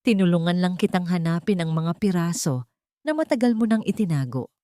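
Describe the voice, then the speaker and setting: Story lady doing a devoted young filipina in love, tender n earnest, low voice, dry sound. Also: lively intonation, a little fast, mellow, no echo, natural voice. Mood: earnest, loving.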